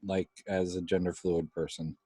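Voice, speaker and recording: A man hosting a casual interview chat, with a deep bass voice and a halting, filler-heavy way of talking. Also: level tone, mellow, husky, no room sound. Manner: halting, many fillers and restarts, laughs often. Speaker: podcast host.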